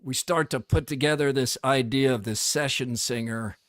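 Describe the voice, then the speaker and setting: A man's voice: medium pitch, normal speed, a bit bright, no echo, clean studio sound. A middle-aged man co-hosting a casual talk show, telling stories and acting out quotes. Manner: storytelling, acts out quotes. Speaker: co-host. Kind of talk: casual chat.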